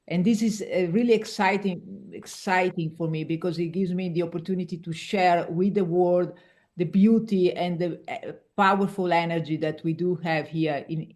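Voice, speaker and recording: A woman's voice: on the low side, fairly even intonation, moderate pace, darkish tone. A woman from Italy's Veneto region, a native Italian speaker with an Italian accent, speaking as an executive coach guest in a casual interview. She restarts words often as she searches for her English phrasing.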